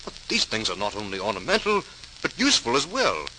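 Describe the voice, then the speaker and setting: Hearty admiring brother in old radio play, swoopy lively pitch, super bright, bit of hiss. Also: male voice, fairly quick, exaggerated voice. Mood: encouraging, delighted. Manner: hearty, emphatic. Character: Thomas, hearty, admiring, practical brother of the potter. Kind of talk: old-time radio drama acting. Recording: noisy 1940s radio transcription recording.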